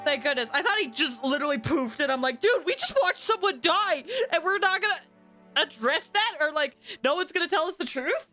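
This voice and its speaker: A young woman streams a game with casual reaction commentary. Her voice is high, bright and very animated. She is informal and expressive, with faint game music behind her. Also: a little fast.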